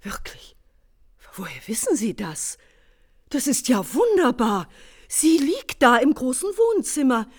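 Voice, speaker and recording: An actress in a German radio play playing a talkative, simple, devout older housemaid who is naively money-minded. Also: female voice, high voice, big pitch swings, a little slow, slightly rough, full character voice. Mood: confiding, chatty.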